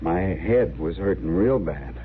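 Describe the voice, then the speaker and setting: An actor playing a troubled, gentle, simple-minded young man of the frontier in a 1950s radio western, with halting, earnest, rustic speech. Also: deep voice, lively intonation. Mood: confused, subdued. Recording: muffled old radio recording, faint noise.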